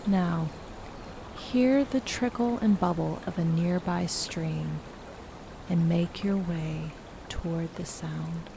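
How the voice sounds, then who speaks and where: Casting: female meditation guide, unhurried and breathy, lowish voice with heavy creak, soft half-whispered narration. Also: noisy background. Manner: soft, slow, partly whispered.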